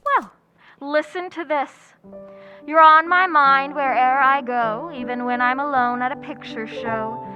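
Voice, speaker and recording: A woman's voice: high voice, fairly even intonation, measured pace, muffled recording, soft background music. A woman playing a wry, lovelorn schoolteacher in old-time radio comedy, with dry, theatrical wisecracks. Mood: sardonic. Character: wry, lovelorn female high school English teacher.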